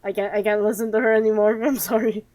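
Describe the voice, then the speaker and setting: A woman game streamer giving playthrough commentary and reading game text aloud, with expressive, sarcastic reactions. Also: medium pitch, fast talker.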